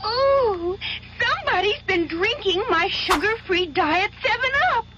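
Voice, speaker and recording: A woman voicing a prim, excitable, melodramatic storybook girl in a comic radio commercial. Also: very high-pitched, measured pace. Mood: dismayed, startled. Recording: dull noisy old radio recording.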